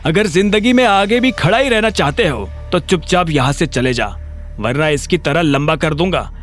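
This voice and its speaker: A man's voice: fairly high pitch, animated, moderate pace, natural voice. A man playing a burly thug in an audio drama, curt and threatening. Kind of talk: Hindi audio drama character acting.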